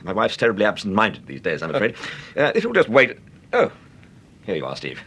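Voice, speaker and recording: An actor with an English accent playing an urbane, unflappable gentleman detective in a clipped, polite, wry way, in the style of vintage British radio drama. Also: fairly low pitch, wildly swooping pitch, brisk, slightly rough, heavily acted. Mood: smooth, probing.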